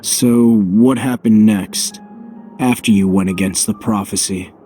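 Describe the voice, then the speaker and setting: An actor playing a cool-headed fighter in a video game, with a blunt, offhand delivery. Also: male voice, on the low side, fairly even intonation, a little slow, warm tone, faint music behind, lightly acted. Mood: curious.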